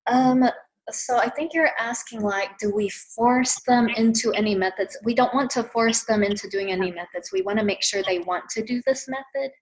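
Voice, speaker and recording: A woman's voice: fairly high pitch, normal speed, poor audio. A woman who grew up speaking Vietnamese, hosting a therapy training webinar in a friendly, encouraging manner over a video call. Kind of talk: webinar wrap-up chat.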